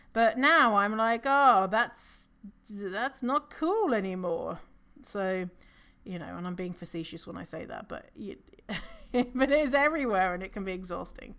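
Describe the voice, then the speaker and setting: Non-binary podcast host, low voice, casual solo monologue, rambling, swears casually. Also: a bit bright. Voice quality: low voice for a woman's range. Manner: frequent fillers and self-corrections.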